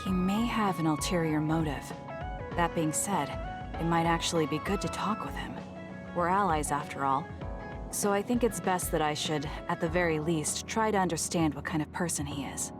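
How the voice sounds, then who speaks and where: A woman's voice: low voice, moderate pace, over music, natural voice. An actress playing a cool, blunt, determined young female soldier in a video game, reserved and matter-of-fact. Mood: guarded.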